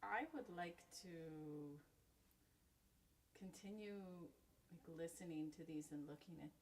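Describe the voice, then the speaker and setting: Low-voiced woman singer, a bit distant. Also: takes it slowly. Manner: easygoing, talks in loose run-on phrases. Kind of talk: casual rehearsal chat.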